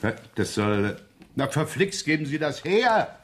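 Weary, sardonic police inspector in echoing room. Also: male voice, a little high, sing-song, measured pace, slight background noise. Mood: grumbling, sardonic. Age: middle-aged. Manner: dry, grumbling, wry. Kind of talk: 1970s German radio crime drama acting.

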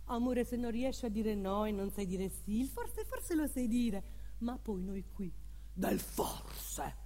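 An actress playing a woman pleading with and teasing her beloved in a slow, repetitive theatrical monologue. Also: on the high side, lively intonation, dark tone. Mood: coaxing, teasing. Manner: slow, drawn-out, repetitive, insistent delivery. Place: theatre hall, live stage performance. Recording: long hall reverb, live music behind.

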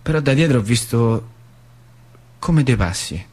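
A man's voice: mid-range voice, expressive, a little slow, darkish tone, breathy edge. A man with a central Italian accent performing a colloquial theatrical monologue, repeating phrases for dramatic effect. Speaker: stage actor and storyteller. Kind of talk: theatrical monologue, first-person storytelling.

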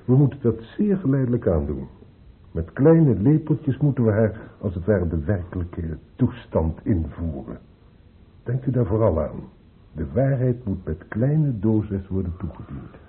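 Authoritative doctor in radio drama, low voice with lively emphatic intonation, formal and grave. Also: male voice, unhurried. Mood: grave, instructive. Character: grave, measured male hospital doctor, cautious authority. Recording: muffled phone-like old radio recording.

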